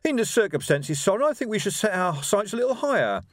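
A man's voice: high voice, big pitch swings, fast talker, dry recording, clean studio sound, voice pushed up. A man voicing a prim, idealistic revolutionary in an audiobook, preachy and insistent. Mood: encouraging, prim. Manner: preachy, insistent, easily offended. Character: earnest, prim, idealistic male revolutionary organiser.

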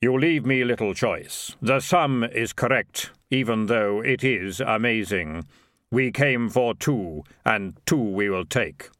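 A man voicing Death, a grave and aloof male reaper who speaks slowly and solemnly. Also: medium pitch, fairly bright tone, no echo, studio quality. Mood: solemn, dry. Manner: slow, solemn, measured.

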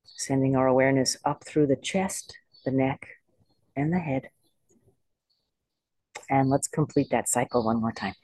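A meditation teacher leading a guided body scan in slow, unhurried phrases with pauses. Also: medium pitch, a little mellow, no echo.

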